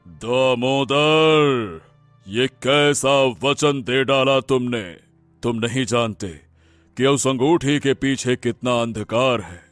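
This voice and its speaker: A man playing a wise, solemn elderly holy man in a cartoon story, speaking in a grave, measured, moralizing way. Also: mid-range voice, a bit bright, no room sound, faint music behind, voice pushed down. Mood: troubled, grave.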